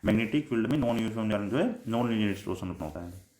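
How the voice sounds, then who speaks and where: A man's voice: fairly low pitch, expressive, very fast, darkish tone. A man with an Indian accent giving an explanatory electronics lecture, going step by step, repeating points and mixing in English technical terms. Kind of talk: explanatory lecture, reading definitions then paraphrasing them. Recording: echoey room sound.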